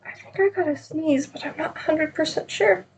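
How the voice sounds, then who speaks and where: A woman's voice: high voice, normal speed, slight background noise, low-quality recording. A woman with a stuffed-up nose chatting casually and rambling on a live knitting stream, with many ums.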